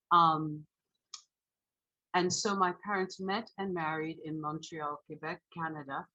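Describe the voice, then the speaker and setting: A woman in her forties, born in Haiti with French as her first language, giving a sermon in a measured, reflective way. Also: low-pitched, sharp tone, slightly rough, lo-fi sound. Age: 40s. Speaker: minister.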